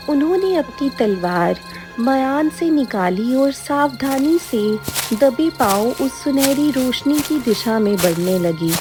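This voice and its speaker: Female narrator for scripted dramatic cartoon tales, slow delivery, light music underneath. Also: a little high, a little mellow.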